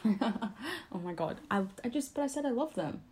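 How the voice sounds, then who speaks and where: A woman's voice: on the high side, lively intonation, moderate pace, darkish tone. A nineteen-year-old woman co-hosting a podcast, chatting casually with her boyfriend and laughing often. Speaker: podcast co-host. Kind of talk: casual chat.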